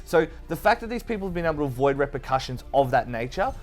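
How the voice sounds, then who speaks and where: Male host, quick speech, lively intonation, emphatic, critical, faint music behind. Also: on the high side. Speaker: gaming show host. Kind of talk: opinionated panel discussion.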